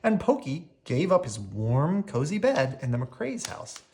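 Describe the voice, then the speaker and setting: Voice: mid-range voice, very animated, measured pace, a little mellow, a little hiss or hum. A storyteller reading a children's picture book aloud expressively, drawing out key words.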